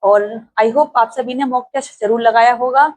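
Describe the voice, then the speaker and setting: A woman's voice: on the high side, moderate pace. A young woman from India talking casually to her viewers in a vlog, speaking steadily with frequent hesitations. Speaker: exam-prep YouTuber.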